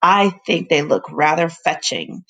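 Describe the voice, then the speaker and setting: Storybook professor, female reader: older man, eccentric, hearty, exclamatory, playful, some creak. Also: deep voice, animated, unhurried, a bit bright, pitched below the performer's own voice, heavily acted. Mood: breezy, assured.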